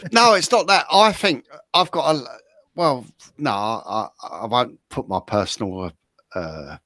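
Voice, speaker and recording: Animated, insistent man chatting. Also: mid-range voice, measured pace, no room sound. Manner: rambling, insistent, repeats himself. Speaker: co-host. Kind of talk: casual chat.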